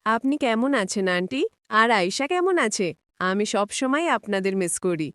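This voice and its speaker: A woman's voice: medium pitch, big pitch swings, normal speed, a bit bright, clean tone, dry recording. A woman voicing an eager, affectionate young city girl in a cartoon. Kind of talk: cartoon dialogue.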